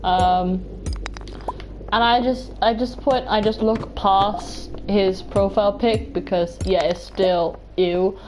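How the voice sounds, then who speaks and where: Slow, rambling young woman. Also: mid-range voice, fairly even intonation, background noise. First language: Welsh. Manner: rambling, full of "like" and restarts, giggly. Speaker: streamer. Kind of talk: casual chat while playing a game. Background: game music.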